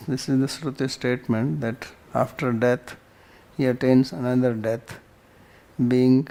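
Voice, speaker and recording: A man's voice: mid-range voice, darkish tone. A man with an Indian accent teaching a scripture class, reciting Sanskrit and explaining it in short phrases.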